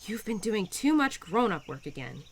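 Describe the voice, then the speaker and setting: A woman playing a cheerful, playful, nurturing young spirit of spring in an audio roleplay, affectionate and gently teasing as she speaks to the listener. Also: medium pitch, big pitch swings, normal speed, slightly rough. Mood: caring, chatty.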